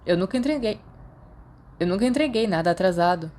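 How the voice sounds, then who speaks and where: A man's voice: high voice, animated, brisk, darkish tone. A woman voicing a guarded, self-conscious, bookish teenage schoolboy in an audiobook story, reserved and earnest. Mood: defensive.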